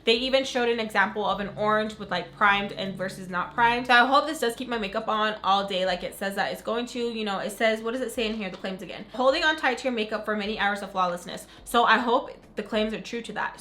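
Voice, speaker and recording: A young woman who is sick and raspy, chatting excitedly in a casual beauty unboxing. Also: medium pitch, fairly quick, hardly any pauses, slight background noise. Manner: gushing, upbeat, says "freaking" a lot. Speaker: beauty vlogger. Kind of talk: casual unboxing chat to camera.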